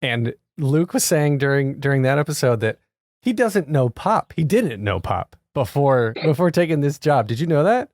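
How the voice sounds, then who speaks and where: A man's voice: fairly high pitch, sing-song, moderate pace, a little mellow, dry recording, studio quality. A male podcast host in casual interview chat, enthusiastic and chatty, often repeating words as he talks.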